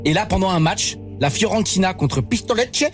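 A man co-hosting a radio show, telling a story with playful, animated energy. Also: high voice, moderate pace, soft background music. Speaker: radio co-host.

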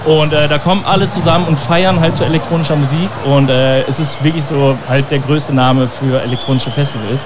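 A man, a DJ and music producer, answering interview questions in a relaxed, explaining way. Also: a little high, a little fast, hardly any pauses, background noise. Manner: relaxed, explaining, many fillers. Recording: clear music behind.

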